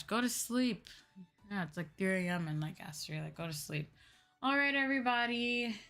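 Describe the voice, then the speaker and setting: A woman's voice: on the low side, a bit bright. A woman livestreamer chatting casually with her viewers, with music playing behind her. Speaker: livestreamer, VTuber host.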